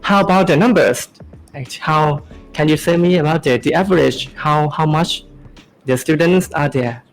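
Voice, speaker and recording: A young man hosting a casual podcast, talking in halting phrases with many fillers. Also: a little high, soft background music, low-quality recording. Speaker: podcast host.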